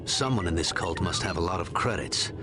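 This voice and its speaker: A man's voice: sits low, lively intonation, brisk, background noise. A man voicing a seasoned, easygoing and wry Jedi mentor in a video game.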